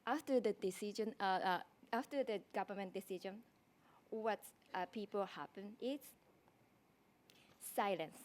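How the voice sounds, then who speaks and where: A woman's voice: mid-range voice, measured pace. A young woman with a strong Japanese accent giving a prepared thesis presentation, speaking carefully with frequent restarts. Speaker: design graduate student presenting a thesis.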